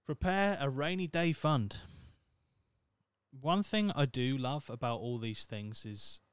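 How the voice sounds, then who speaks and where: Brit guy, 30, rambly sweary podcast host, smooth voice, dead dry close mic. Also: mid-range voice, slow pace, dry recording. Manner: rambling, sweary, wry and self-mocking. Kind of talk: casual solo podcast monologue.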